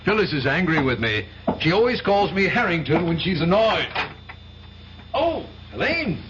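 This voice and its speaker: Breezy upper-class gent. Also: male voice, high-pitched, big pitch swings, normal speed. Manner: breezy, teasing, chatty. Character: glib upper-class brother, teasing, smooth. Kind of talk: 1970s radio drama acting. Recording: hissy lo-fi old radio broadcast.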